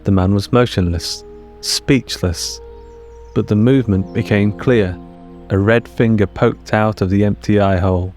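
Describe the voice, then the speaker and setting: A man narrates a fiction story in a fairly low voice. He is measured and deliberate, setting out short dramatic phrases over a music bed. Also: steady tone, a little mellow.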